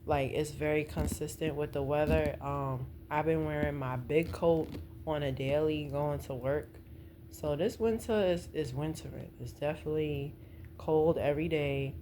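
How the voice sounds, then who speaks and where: A woman's voice: deep voice, steady tone, moderate pace. A middle-aged woman, chatting casually in an informal, meandering, conversational way as a vlogger. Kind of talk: casual rambling chat. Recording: noticeable background noise.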